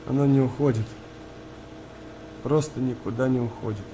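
An actor playing an anguished, haunted young wartime soldier in a radio play, speaking in halting, repetitive phrases. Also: male voice, mid-range voice, fairly even intonation, mellow, natural voice. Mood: haunted, subdued. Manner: halting, repetitive, emotional delivery. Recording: noisy wartime ambience behind voice.

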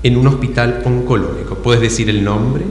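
A man's voice: medium pitch, moderate pace, darkish tone. A man with an Argentine accent hosting an improv show, questioning an audience member in a teasing way. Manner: teasing, echoes answers back. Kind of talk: audience interview. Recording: echoey hall with live audience.

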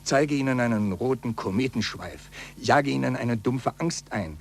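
An actor playing a cautious, anxious middle-aged skeptic, speaking earnestly and urgently in a 1940s German radio play. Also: male voice, mid-range voice, moderate pace, creaky, lightly acted. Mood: grave, pessimistic. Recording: noisy, humming 1940s radio recording.

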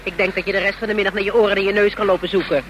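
A woman's voice: mid-range voice, flat delivery, racing, muffled recording, close to an everyday voice. Feisty, blunt woman played by an actress. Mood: defiant threat. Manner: colloquial, blunt, wry. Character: feisty, stubborn woman facing down a gang. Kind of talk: radio drama acting. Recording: old radio play, background noise.

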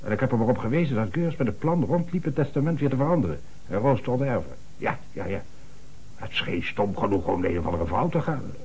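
An actor playing an agitated, self-important small-town notary on the telephone in a Dutch radio play, flustered and stammering. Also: male voice, medium pitch, expressive, fast talker, a little mellow, slight background noise, lightly acted, rough recording. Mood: uneasy, pleading.

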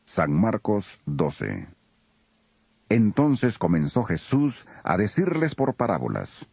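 Deep, gravelly male scripture narrator. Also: slow, no echo. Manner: solemn, expressive reading.

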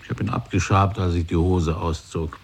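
A husky-voiced skeptical man. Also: sits low, fairly even intonation, normal speed. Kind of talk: 1980s radio play acting.

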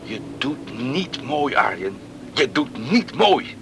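An actor playing an earnest, proud younger brother in a radio play, speaking with insistent emphasis. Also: male voice, medium pitch, big pitch swings, slow pace, noisy background. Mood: proud, hurt. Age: young adult.

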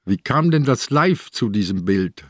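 An actor in a radio crime drama playing an ailing older police inspector who asks terse, probing questions. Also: male voice, medium pitch, big pitch swings, unhurried, no echo, studio quality.

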